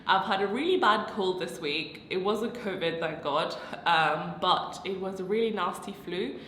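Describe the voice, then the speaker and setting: A woman's voice: fairly low pitch, moderate pace, fairly bright tone, a little hiss or hum. A young woman, congested and nasal from a cold, chatting casually to camera as she explains her work point by point. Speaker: consultant and vlogger. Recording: roomy echo with a long tail and faint music.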